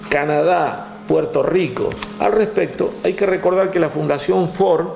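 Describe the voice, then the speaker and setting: A man with an Argentine accent, telling history in a relaxed chat, with many "este" fillers, reading out figures from a text. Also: fairly high pitch, gravelly, reverberant, slight background noise. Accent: Argentine Spanish. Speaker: guest storyteller. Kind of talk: conversational history talk.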